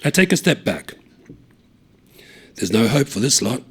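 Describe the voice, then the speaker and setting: A man reading a short story aloud, acting out the voices of the characters, loud on some lines and hushed on others. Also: mid-range voice, a little slow, slightly rough. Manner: lively, acts out the dialogue, shouting some lines and dropping to a hush on others.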